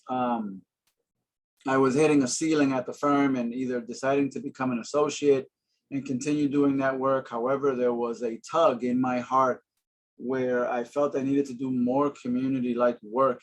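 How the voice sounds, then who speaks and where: Earnest man in his forties on a webinar. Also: mid-range voice. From Boston, Massachusetts. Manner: earnest, friendly, many fillers. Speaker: housing panelist. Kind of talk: webinar presentation.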